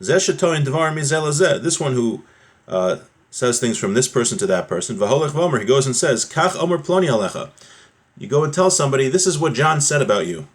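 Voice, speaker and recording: A man's voice: mid-range voice, sing-song, brisk. A man teaching an informal religious class, reading out phrases from a text and explaining them step by step, often rephrasing and posing questions that he answers himself. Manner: explanatory, repeats and rephrases points, asks and answers own questions.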